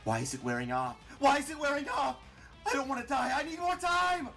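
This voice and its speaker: Melting monster lady, screechy high, desperate, begging and screaming, story reading. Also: big pitch swings, brisk, sharp tone, faint music behind, heavily acted. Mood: panicked. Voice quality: garbled, breaking voice. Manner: screaming, pleading. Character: desperate dying female monster, melting, hysterical.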